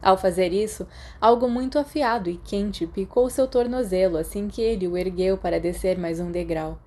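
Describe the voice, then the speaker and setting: A Brazilian woman narrates fiction from a script. Her voice is soft-edged and mellow, sitting at an ordinary pitch for a woman. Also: normal speed. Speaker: podfic narrator.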